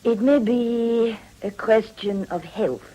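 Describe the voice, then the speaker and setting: A woman's voice: fairly high pitch, slow pace, mellow, poor audio. An actress playing a worldly, sly older society hostess and mother in a period radio drama, with an arch, gushing manner. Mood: coy, mercenary.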